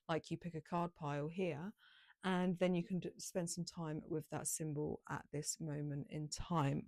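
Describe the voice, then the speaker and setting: A woman giving a casual, improvised tarot reading, rambling along with gentle, encouraging spiritual guidance. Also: lowish voice, gravelly, no echo. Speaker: tarot card reader, channel host.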